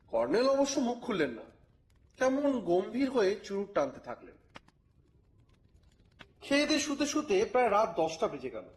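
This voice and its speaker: High-pitched, wry male storyteller. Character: wry, grumbling male reporter narrating his detective adventure. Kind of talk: dramatized first-person story narration. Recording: music bed under the voice.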